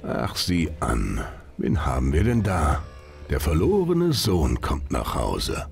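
A man's voice: rumbling low, wildly swooping pitch, slow pace, creaky, faint music behind, heavily acted. An actor playing a domineering, mocking male gang boss in a video game, sneering and dismissive. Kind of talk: dramatic video game acting.

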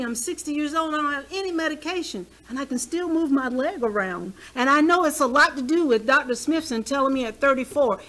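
A woman of sixty speaks from a church podium with a fairly high, bright voice. She talks in a lively, conversational way and keeps asking the listeners questions. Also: low-quality recording. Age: 60s. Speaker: health speaker. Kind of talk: church health talk. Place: small church hall.

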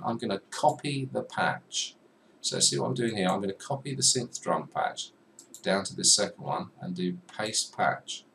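A young man giving a chatty, self-deprecating software tutorial at his desk, talking to the viewer as he clicks through menus. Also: low-pitched. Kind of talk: screen-recorded software tutorial. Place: small room at a desk. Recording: slightly distant mic with faint room noise.

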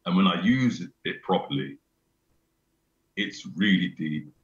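A middle-aged man with a very low, deep bass voice talks casually and eagerly, often repeating his phrases. The sound comes through a video call and is roomy and slightly dull. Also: expressive, measured pace. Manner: repeats himself, laughs often, enthusiastic. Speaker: venue owner, guest. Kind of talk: casual chat. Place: small room indoors. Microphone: video call webcam.

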